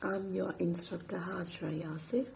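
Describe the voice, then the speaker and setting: Tamil lady teaching english, voice nearly gone from a sore throat, dark and raspy, talks real slow. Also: on the low side, steady tone, slight background noise. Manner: hushed, slow, breathy half-whisper. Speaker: English instructor. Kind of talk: late-night personal vlog, reading aloud from a book.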